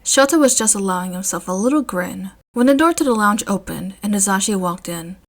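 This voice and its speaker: A woman narrates a piece of fiction. Her pitch sits in a middle range and moves in a very lively way. The reading is scripted and expressive. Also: a little fast. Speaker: story narrator.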